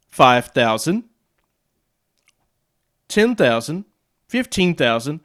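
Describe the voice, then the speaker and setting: A man with a fairly high, smooth voice presents a radio and audio gear demo. He talks casually and takes his time, laying out each point in short, halting phrases. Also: fairly bright tone. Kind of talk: casual demo and explainer talk.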